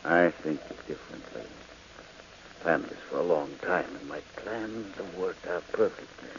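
An actor in an American 1940s radio drama playing a cold, smug husband calmly threatening to kill his wife. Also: low voice. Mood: cold menace. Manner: calm, menacing, deliberate. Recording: muffled, noisy old radio recording.

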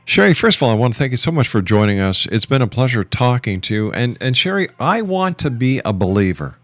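A man's voice: medium pitch, very animated, brisk, fairly bright tone, no room sound. A middle-aged man hosting a radio talk show, speaking insistently and emphatically and repeating phrases for effect. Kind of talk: radio interview with argumentative commentary.

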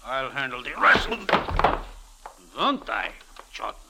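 An actor playing a cold, commanding gangster boss with a curt, controlled menace in a 1940s radio drama. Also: male voice, medium pitch, very animated, slow pace, creaky, full character voice. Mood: impatient, threatening. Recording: crackly vintage radio recording.

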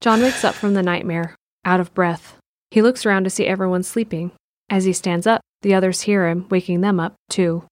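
A woman's voice: lowish voice, steady tone, moderate pace. A woman reading scripted narration for an audio drama. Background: faint music.

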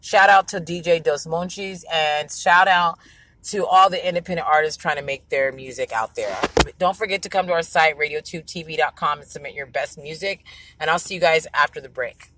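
A woman with a raspy voice hosting an online radio show, giving frank, chatty opinions on the news. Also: low voice, normal speed, a bit bright. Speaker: internet radio host. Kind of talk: casual talk-radio commentary.

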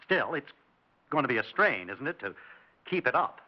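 An actor playing a glib, evasive stranger in a 1960s radio drama, halting at times and smoothly insinuating at others. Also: male voice, mid-range voice, sing-song, close to an everyday voice. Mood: sly, self-assured. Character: mysterious male stranger, glib, evasive, brazenly self-assured intruder. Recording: dull 1960s radio recording.

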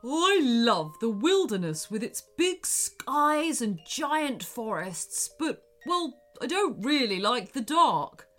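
Storybook wolf, large, male, amiable and worried, performed by a woman; bright delivery, hesitant chatty manner. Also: a little high, very animated, slow, hardly any pauses, soft background music, exaggerated voice. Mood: sheepish. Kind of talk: children's storybook character voice.

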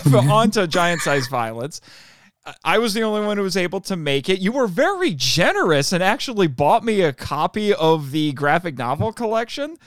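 A male podcast host talks in a relaxed, chatty way, laughing often and stopping to correct his own slips. His voice sits a bit high and comes across smooth and resonant. The sound is dry and close, with no room around it. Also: normal speed, no echo. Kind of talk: casual podcast chat.

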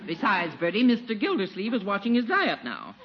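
An actress playing a prim, critical middle-aged aunt, polite, precise and disapproving, in a 1940s radio comedy. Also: female voice, medium pitch, wildly swooping pitch, fairly quick. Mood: bossy. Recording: muffled, noisy 1940s radio recording.

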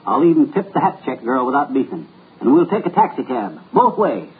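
Fibber McGee, a blustery husband. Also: male voice, mid-range voice, sing-song, a little fast, husky. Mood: cheerful. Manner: brash, folksy, blustering. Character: blustery, boastful middle-aged husband. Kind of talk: 1940s radio comedy acting. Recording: tinny old radio broadcast with faint hiss.

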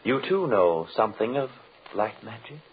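An actor playing an unafraid, mysterious magician, measured and formal with a sly taunting manner, in 1940s radio horror style. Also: male voice, fairly low pitch, expressive, breathy edge. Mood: calm, cryptic. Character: calm, cryptic, mocking magician hero, unafraid. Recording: tinny, noisy 1940s radio recording.

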